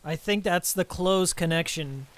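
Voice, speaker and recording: A man chatting casually while live-streaming a game, a little hoarse after hours of talking, rambling and reading out viewer names. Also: high voice, a little slow, fairly bright tone. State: slightly hoarse from a long stream.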